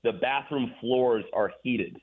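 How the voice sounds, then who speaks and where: A man's voice: mid-range voice, slow pace. Man on a tinny phone line. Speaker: radio play-by-play announcer, interview guest. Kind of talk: casual sports talk interview.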